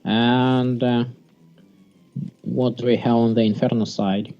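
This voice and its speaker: A man from Sweden gives casual, halting live commentary on a strategy game. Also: mid-range voice, level tone. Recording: dull lo-fi mic, music behind. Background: music.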